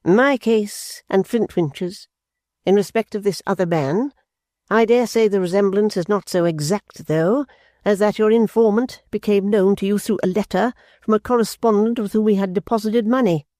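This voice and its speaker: A man reading aloud and voicing a stern, cold older mother who speaks slowly and severely. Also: high-pitched, dark tone, no echo, studio quality, voice pushed up, close to an everyday voice. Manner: stern, clipped, deliberate.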